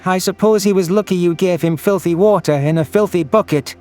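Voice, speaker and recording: Man putting on a high-pitched furious alien creature. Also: fairly quick, darkish tone, faint music behind, close to an everyday voice. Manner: screeching, accusatory, profane. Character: furious, bitter male alien prey creature, fiercely protective friend. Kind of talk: audio drama character acting.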